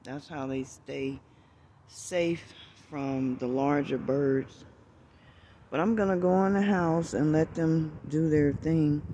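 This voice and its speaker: A man filming in a backyard, talking slowly and gently, often dropping to a hushed voice. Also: a little high, a little hiss or hum. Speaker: birdwatching vlogger. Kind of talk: casual outdoor narration while filming. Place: outdoors in a backyard among bushes. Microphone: handheld phone camera.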